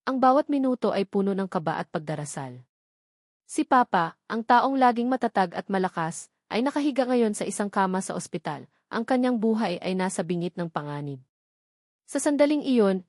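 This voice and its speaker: A woman with a Filipino accent reading a scripted first-person dramatic story in a solemn, measured delivery. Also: mid-range voice, darkish tone, no echo, studio quality. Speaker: audio drama narrator.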